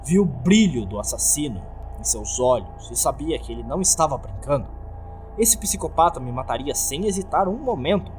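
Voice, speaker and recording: A man's voice: a little high, expressive, normal speed, soft background music. A man with a Brazilian accent reads a scripted horror story as a dramatic narrator. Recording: faint background noise.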